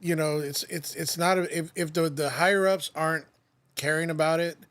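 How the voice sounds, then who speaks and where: A man's voice: on the high side, fairly even intonation, moderate pace, a bit bright. A man hosting a podcast, telling stories in casual, rambling chat full of restarts. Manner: rambling storyteller, many restarts and "like". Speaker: podcast host.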